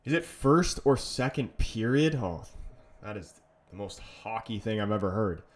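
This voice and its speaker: A man's voice: on the low side, lively intonation, a little slow. A young man from Canada hosting a football watch-along stream, chatting with viewers and reacting to the match with frustrated, rambling bursts. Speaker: livestream watch-along host. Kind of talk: casual live-stream chat and match reaction.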